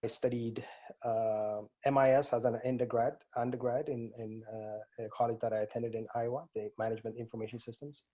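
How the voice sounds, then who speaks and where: A man's voice: medium pitch, level tone, a little fast, warm tone. A man in his forties from East Africa with an East African accent, answering interview questions as a guest with many hesitant fillers and restarts. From East Africa (Ethiopia and Kenya). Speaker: guest, IT strategist. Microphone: remote call.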